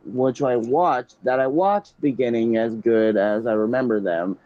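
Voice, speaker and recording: A man's voice: medium pitch, a little slow, dry recording, highs cut off. A young man hosting, reading a story aloud casually, often stumbling and restarting.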